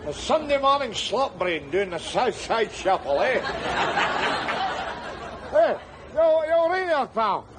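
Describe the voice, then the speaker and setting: An actor playing a sardonic, rambling middle-aged down-and-out man, who breaks into shouts. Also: very high-pitched, sing-song, takes it slowly, long pauses, crowd noise, background noise, exaggerated voice. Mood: wry rambling. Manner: wry, rambling, bursts into shouts. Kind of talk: screen drama acting.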